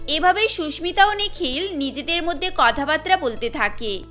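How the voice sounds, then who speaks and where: A woman's voice: high-pitched, expressive, normal speed, a bit bright. A woman narrating a scripted cartoon story as its storyteller. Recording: music under the voice.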